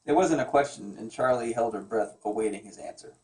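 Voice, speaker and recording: A man's voice: medium pitch, a little fast, darkish tone, distant mic. Joking man reading aloud in a roomy echo. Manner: joking, teasing, stumbles while reading. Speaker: comic artist reading wife's novel aloud. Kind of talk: amateur read-aloud with joking asides. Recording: roomy echo, away from the mic.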